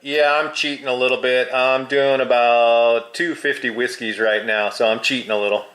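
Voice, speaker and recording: A man's voice: medium pitch, steady tone, normal speed, noisy background. A man who is a hobby radio operator, chatting casually with radio jargon.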